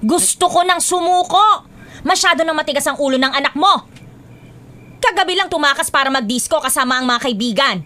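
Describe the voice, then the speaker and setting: A woman's voice: high-pitched, lively intonation, a little fast, sharp tone. A woman playing a stern, exasperated middle-aged aunt in a Filipino radio drama, scolding with melodramatic emphasis. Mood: weary, complaining.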